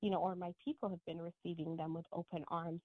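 A woman from Ethiopia, a guest author on a radio talk show, answering earnestly and in a measured way with frequent restarts, heard over a remote line. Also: on the low side, level tone, no echo. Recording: tinny phone-line sound, dry.

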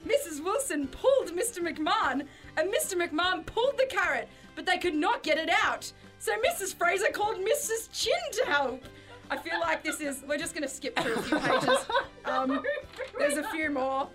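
High-pitched, lively, giggly young-sounding woman. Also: normal speed, faint music behind. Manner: giggly, self-mocking. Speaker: podcast co-host. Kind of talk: casual chat with a playful read-aloud of own childhood story.

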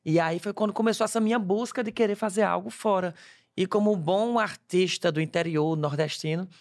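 A man's voice: high voice, a little fast. A man in his twenties from Ceará with a Cearense accent, telling stories as a guest in a casual chat. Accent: Cearense Brazilian Portuguese. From Ceará, Brazil. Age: 20s. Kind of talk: casual conversation.

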